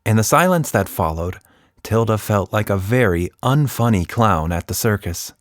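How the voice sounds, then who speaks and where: A man's voice: on the low side, lively intonation, moderate pace, dry recording, clean studio sound. A man hosting a podcast for children, chatting casually and narrating a story.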